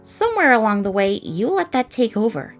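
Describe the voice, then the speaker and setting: A woman's voice: on the high side, sing-song, fairly quick, soft background music. A woman voicing a thoughtful, encouraging young woman in a comic dub of a space adventure. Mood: gentle, encouraging.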